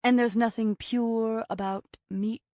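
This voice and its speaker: A woman voicing a haughty, earnest and humorless raw-vegan woman in an audiobook, with precious, drawn-out phrasing. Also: lowish voice, darkish tone, no room sound.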